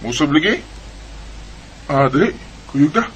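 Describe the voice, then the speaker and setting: A man's voice: fairly high pitch, expressive, measured pace, fairly bright tone, husky, full character voice. A man with a Bisaya Filipino accent playing an easygoing young village cousin in a radio drama. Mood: chummy. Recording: faint background noise.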